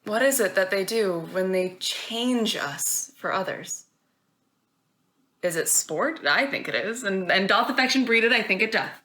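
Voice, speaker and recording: A woman's voice: medium pitch, lively intonation, measured pace, pushed and bright. A woman acting a worldly, defiant wife in a Shakespearean stage monologue, arguing in a pointed, questioning way.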